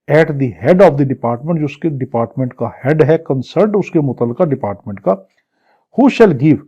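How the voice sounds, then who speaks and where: A Pakistani man teaches in a slightly high, husky voice. He works didactically through formal clauses, repeating and rephrasing each piece and posing questions that he answers himself. The recording is somewhat dull and muffled. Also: warm tone. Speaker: law lecturer. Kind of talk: exam-prep lecture, reading clauses and explaining them.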